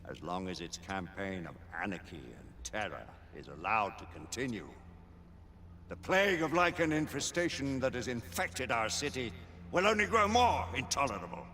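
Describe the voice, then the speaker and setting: An actor playing a stern, proud, ancient British lord chancellor in a dramatic game cutscene, speaking with solemn, commanding formality. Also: male voice, medium pitch, sing-song, moderate pace, sharp tone. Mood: grave warning. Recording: long hall reverb, faint background noise.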